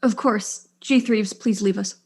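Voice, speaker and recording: A proud, weary widow and engineer, played by a woman in a mystery drama. Her voice sits at a medium pitch, and she speaks in curt, articulate sentences with dry exasperation. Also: unhurried, a little mellow, husky. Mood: composed.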